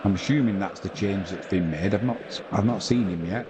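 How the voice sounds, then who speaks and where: A man from England giving relaxed play-by-play of a football match and chatting with viewers on a live stream. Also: low voice, moderate pace, a crowd in the background, noisy background. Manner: conversational play-by-play, reading out viewer comments. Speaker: watch-along commentator.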